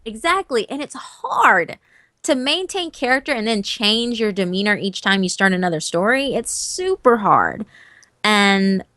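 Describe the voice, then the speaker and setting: A woman's voice: medium pitch, unhurried, dry recording. Emphatic woman horror narrator, dry sound. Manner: animated and emphatic, swears casually. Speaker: horror story narrator and guest. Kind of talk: casual interview chat.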